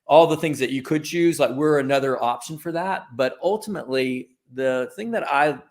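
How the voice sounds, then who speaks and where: A man's voice: on the high side, moderate pace. A man speaking as an interview guest, giving informal, discursive answers and often rephrasing himself as he goes. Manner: informal, discursive, self-correcting. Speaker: guest, creative company founder.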